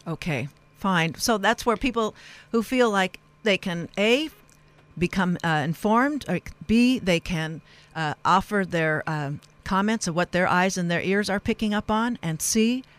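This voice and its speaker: A woman hosting a live radio interview, speaking in earnest, halting phrases. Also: mid-range voice, animated, clear, resonant tone. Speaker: radio show host.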